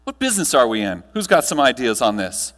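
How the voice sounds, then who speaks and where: A man giving a motivational keynote to a live audience, telling stories with dramatic pauses and emphatic delivery. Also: medium pitch, very animated, a bit bright. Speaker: keynote speaker. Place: large room, live audience, amplified. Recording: echoey hall, long reverb.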